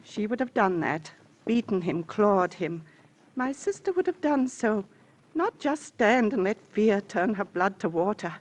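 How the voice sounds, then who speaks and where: A woman's voice: medium pitch, sing-song, measured pace. An actress in an old radio drama playing a distraught, insistent middle-aged woman, pleading with theatrical intensity. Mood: distraught, intense. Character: distraught, insistent fifty-two-year-old woman grieving her missing sister. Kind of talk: 1940s-50s radio drama acting. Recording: vintage radio recording with faint hiss.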